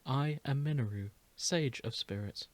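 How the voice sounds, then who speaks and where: A man voicing an ancient female sage spirit in a measured, formal manner, for a fantasy reading. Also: mid-range voice, dry recording, natural voice. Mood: solemn, patient.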